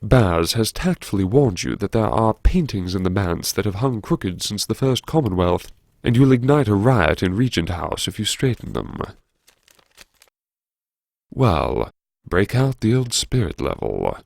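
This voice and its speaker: A man's voice: fairly low pitch, big pitch swings, a little slow, creaky, darkish tone, dry recording, clean studio sound, exaggerated voice. A man playing a wry, erudite, self-mocking university head who muses to himself in old-fashioned, droll phrases, as audiobook narration. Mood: dry, calculating. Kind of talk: audiobook narration as inner monologue.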